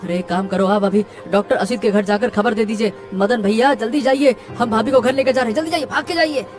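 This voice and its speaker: An actor plays a village man in a cartoon drama. He sounds decisive and hurried, taking charge. Music is clearly present behind the voice. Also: medium pitch, lightly acted. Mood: urgent. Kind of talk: Hindi cartoon story dialogue.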